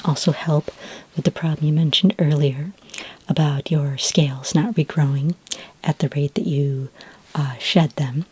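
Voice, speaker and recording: A woman doing a soft-spoken, half-whispered ASMR roleplay as a calm, reassuring skin care consultant, explaining gently and a little hesitantly. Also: sits low, husky.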